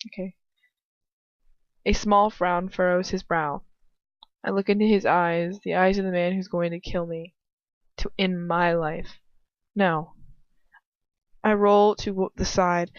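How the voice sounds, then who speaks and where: Muffled, lowish-voiced woman reading casually aloud. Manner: irreverent, giggly, swearing asides, stumbles while reading. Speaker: amateur fan fiction reader. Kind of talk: casual read-aloud with chatty asides. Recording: muffled lo-fi home recording, roomy echo.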